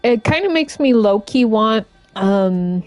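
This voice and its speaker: A woman game streamer chatting casually and informally as she plays, in a halting, rambling way. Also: on the high side, animated, a little mellow, no room sound, soft background music. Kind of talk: casual live-stream chat while playing.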